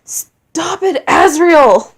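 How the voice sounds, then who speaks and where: A woman's voice: expressive, exaggerated voice. A woman voicing a huge old monster king, a sorrowful father, in a storybook reading. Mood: anguished. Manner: booming commands, halting pleading.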